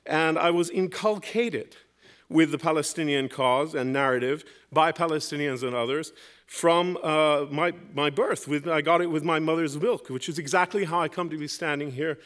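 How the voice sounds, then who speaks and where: Higher-voiced man making an emphatic debate speech. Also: normal speed, a bit bright. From Lebanon, grew up in West Beirut. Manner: emphatic, argumentative, rhetorical questions. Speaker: debate panelist. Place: university debate hall.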